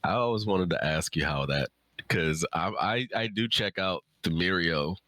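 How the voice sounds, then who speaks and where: Male author for a casual Q&A; deep voice, dry close sound, informal rambling delivery. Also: moderate pace, sharp tone, no echo. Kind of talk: casual chat, answering audience questions.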